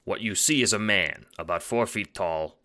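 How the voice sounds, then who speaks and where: Man, narrator, deep pitch, bright crisp tone, scripted audio drama storytelling. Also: moderate pace.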